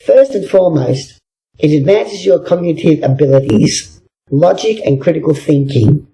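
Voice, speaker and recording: A man's voice: a little high, moderate pace, creaky, warm tone, poor audio. A man reading a scripted motivational text aloud. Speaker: reader.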